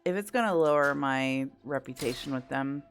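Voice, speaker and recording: A woman's voice: a bass voice, normal speed. A woman giving casual, blunt commentary while playing a video game. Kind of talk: casual let's play commentary.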